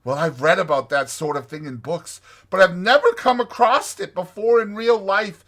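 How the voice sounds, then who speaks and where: A man's voice: high-pitched, moderate pace, a bit bright, pitched above the performer's own voice. A man voicing a naive, fussy male mole from a storybook, wordy and exclamatory in his delivery. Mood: amazed, admiring. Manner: wordy, indignant or gushing, exclamatory. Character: naive, fussy male mole, pettish yet easily amazed.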